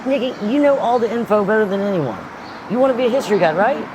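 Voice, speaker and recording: A woman's voice: on the high side, expressive, quick pace, noisy background, close to an everyday voice. An actress playing a brash, easygoing, reckless woman tour operator, bantering with sassy ease in an audio drama. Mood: teasing banter. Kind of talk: horror audio-drama dialogue.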